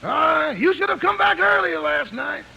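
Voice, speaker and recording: A man playing a cheery, teasing servant with a gravelly voice in a 1950s radio comedy. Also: very high voice, animated, a little slow, pushed and bright, highs cut off, heavily acted. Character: cheery, familiar male servant teasing his boss. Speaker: comic supporting actor. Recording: hissy 1950s radio recording.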